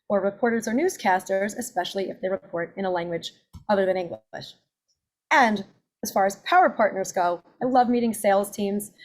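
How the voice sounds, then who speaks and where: Woman in her 40s doing a webinar pitch for her coaching, upbeat and chatty, lists off services. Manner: upbeat, self-promoting, listing services. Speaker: accent and presentation coach.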